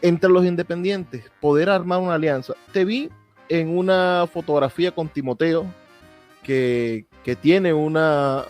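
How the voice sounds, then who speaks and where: A man with a Venezuelan accent and a slightly high voice conducts a political interview. He talks conversationally and puts direct, opinionated questions, with faint music underneath. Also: measured pace, a little mellow.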